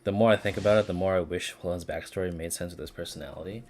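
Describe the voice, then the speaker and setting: A man's voice: deep voice, rapid-fire, darkish tone, a little hiss or hum. A man giving casual, rambling live commentary as a game streamer, in an informal way. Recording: music behind the voice. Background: game music.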